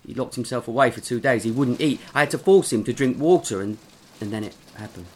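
A man's voice: mid-range voice, darkish tone, lightly acted. An actor playing a nervous drifter who stammers and halts as he recounts something horrifying. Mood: nervous, shaken. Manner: halting, stammering, frightened.

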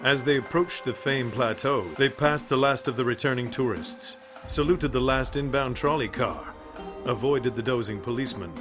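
A male actor is the storyteller of an eerie radio drama. He speaks in a measured, literary way at a medium pitch, with prominent music playing behind him. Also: lightly acted. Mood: measured, ominous. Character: storyteller narrator of an eerie adventure tale. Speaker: narrator. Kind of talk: scripted radio drama narration.

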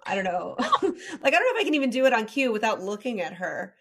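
A woman's voice: a little high, very animated, fast, husky. A young woman with a light Southern Californian accent hosting a podcast, chatting candidly and laughing often. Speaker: podcast host. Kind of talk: casual chat.

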